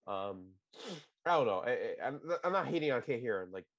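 A man's voice: medium pitch, expressive, brisk, dry recording, muffled recording. A man co-hosting a casual podcast, opinionated and rambling, often restarting sentences. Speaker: podcast co-host.

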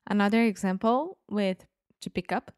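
A woman's voice: medium pitch, moderate pace, a little mellow, clear, resonant tone, dry recording, clean studio sound. A woman co-hosting a podcast, playful and curious, asking questions as she learns. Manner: playful, laughs often, asks questions. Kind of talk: casual chat.

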